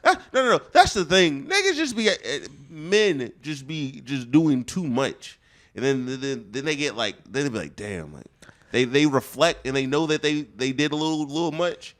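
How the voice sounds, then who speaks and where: A man's voice: a little high, animated, normal speed, a bit bright. A young man co-hosting a casual podcast chat, rambling and laughing as he talks. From Ohio. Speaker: podcast co-host.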